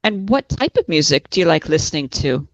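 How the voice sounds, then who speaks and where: A woman's voice: deep voice, sing-song, fairly quick, no echo, low-quality recording. A woman acting as examiner in a mock speaking exam, prompting the candidate with short follow-up questions.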